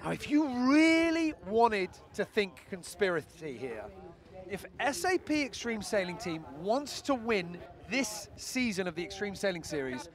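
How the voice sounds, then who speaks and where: A man giving live play-by-play commentary on a sailing race, brisk and analytical. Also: high voice.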